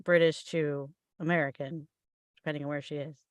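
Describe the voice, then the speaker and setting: A woman's voice: deep voice, little pitch movement, fairly quick, dry recording. A woman chatting casually in a group conversation.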